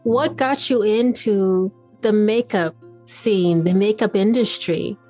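A woman's voice: mid-range voice, dark tone, soft background music. Upbeat woman podcast host. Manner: upbeat, encouraging, reads intro slowly. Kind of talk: podcast interview.

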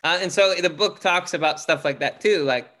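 A man with a loud, booming voice, speaking as a guest in a casual interview, earnest, with many restarts. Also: normal speed. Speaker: podcast guest, author.

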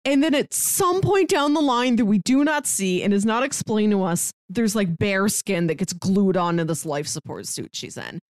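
Lady on a book podcast, super lively pitch, chatty, emphatic, no room echo at all. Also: normal speed, few pauses, clean studio sound. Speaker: podcast co-host. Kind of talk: casual book discussion.

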